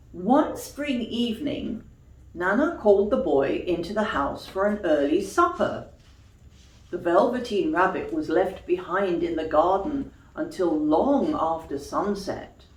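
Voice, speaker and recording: A woman storyteller reading expressively to young children like a teacher, pausing for questions and explanations. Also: lowish voice, darkish tone. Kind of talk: children's storytime read-aloud with explanatory asides. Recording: echoey room.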